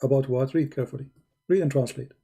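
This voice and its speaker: Male host with an Arabic accent, dark husky voice, pushy debate style. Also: medium pitch, fast. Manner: insistent, reads out sources, talks over the caller. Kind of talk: live-stream debate.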